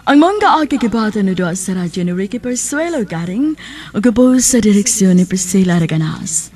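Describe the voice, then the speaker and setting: A woman's voice: medium pitch, lively intonation, normal speed, darkish tone, faint music behind. A woman with a Cebuano Filipino accent reading a scripted closing announcement as a radio drama announcer.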